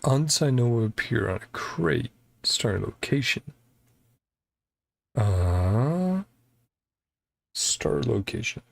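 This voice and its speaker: A man streams a game with a mid-pitched voice that often dips into creak. He comments casually and hesitantly, working things out aloud over music. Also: lively intonation, slow, long pauses, no room sound.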